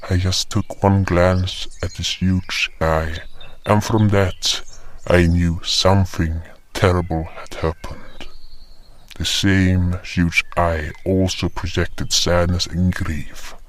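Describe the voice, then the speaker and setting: A solemn fantasy narrator, acted by a man, recounting his own tale. The voice is deep and measured, reading scripted lines slowly. Light noise sits underneath. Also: little pitch movement.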